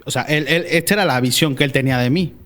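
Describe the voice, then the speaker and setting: A man's voice: on the high side, fast talker, a bit bright. A young man from Spain with a Málaga accent mixed with Mexican traces, sharing his faith story as a podcast guest in a chatty way, full of hesitations and restarts. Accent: Málaga Spanish with Mexican traces. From born in Mexico, raised in Spain. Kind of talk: personal faith testimony in casual conversation.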